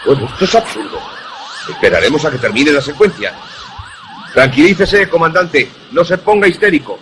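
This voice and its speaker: An actor in a radio drama playing a stern, impatient superior officer in charge of a test. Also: male voice, a little high, sing-song, normal speed. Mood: impatient, scornful. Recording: loud siren noise behind the voice.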